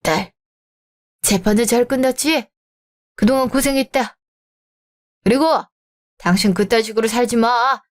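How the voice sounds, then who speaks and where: A stern, wealthy middle-aged father, acted by a woman in a radio drama. He speaks in a lowered voice and scolds coldly and firmly. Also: measured pace, pauses often, natural voice. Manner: cold, scolding.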